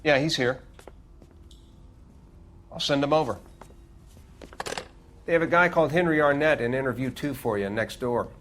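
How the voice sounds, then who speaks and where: An actor playing a businesslike police superior in a game, giving instructions in a matter-of-fact way. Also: male voice, medium pitch, moderate pace, long pauses, a bit bright, slight background noise, lightly acted. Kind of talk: dramatic game dialogue.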